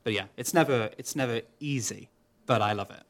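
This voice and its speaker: An opera singer talks through audience questions at an even middle pitch. He is thoughtful and measured, pausing on fillers as he works out his answers. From Wales.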